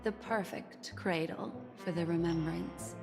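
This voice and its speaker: An actress playing a poised, enigmatic, alluring collector of memories in a video game, speaking in measured, theatrical, cryptic phrases. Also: female voice, lowish voice. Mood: silky, inviting. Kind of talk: scripted game dialogue. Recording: long reverb, clear music behind.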